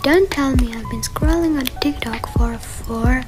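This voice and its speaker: Woman, high voice, casual voiced storytelling, vlog style, loud background music. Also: unhurried. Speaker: video creator narrating a life-simulation story.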